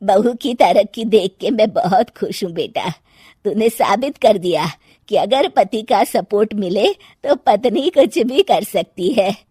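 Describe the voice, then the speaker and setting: A woman playing a blunt, mocking older mother-in-law in a cartoon story, with a husky voice. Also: mid-range voice, lively intonation, a little slow, warm tone, no echo, clean studio sound. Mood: proud. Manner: blunt, scoffing, exclamatory. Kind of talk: cartoon moral-story acting.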